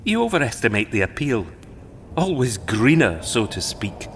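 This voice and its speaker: An actor playing a sly, complaining antlered immortal brother, with a dry, theatrical, teasing manner in an audio drama. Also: male voice, medium pitch, big pitch swings, normal speed, a little hiss or hum.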